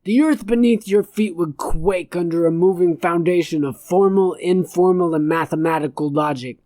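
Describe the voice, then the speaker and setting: A woman's voice: fairly low pitch, moderate pace, mellow, no room sound, close to an everyday voice. A woman voicing a pompous, scornful, verbose old male philosopher in an audiobook story. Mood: haughty.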